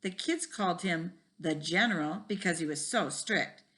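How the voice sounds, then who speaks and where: A woman's voice: fairly low pitch, moderate pace, fairly bright tone, natural voice. A woman voicing a friendly, easygoing male computer teacher in a storybook, with a folksy, explanatory way of talking. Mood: reminiscing.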